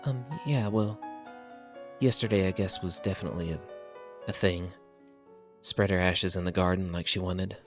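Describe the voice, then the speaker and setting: A man voicing a gentle, hesitant young woman who muses and trails off softly, as a storybook reader. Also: low voice, fairly even intonation, moderate pace, long pauses, soft background music. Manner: soft, hesitant, musing.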